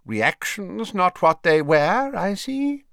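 A man's voice: high voice, big pitch swings, slow pace, creaky, a bit bright, clear, resonant tone, no room sound, voice pushed up, exaggerated voice. A fussy, blustering old wizard from a storybook, acted out by a male reader. He complains bluntly and indignantly, his voice leaping upward and swooping through protests. The sound is close and dry. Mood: blunt, needling. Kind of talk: audiobook character voice.

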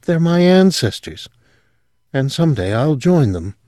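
A man's voice: on the high side, sing-song, a little slow, warm tone, no echo, clean studio sound, pitched above the performer's own voice. Man putting on a dreamy young woman. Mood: rapt. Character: young woman, eerie and rapturous.

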